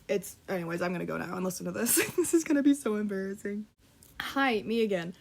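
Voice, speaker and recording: A young woman vlogging in a casual, self-deprecating ramble, laughing often and swearing offhand while trying to make her voice deeper. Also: lively intonation, vocal fry, a little mellow. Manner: deliberately lowering her voice. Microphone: computer mic.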